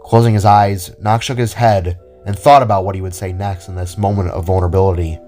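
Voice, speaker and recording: Male narrator, low register, scripted storytelling, music bed underneath. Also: steady tone, fairly quick, mellow. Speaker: narrator of an audio drama.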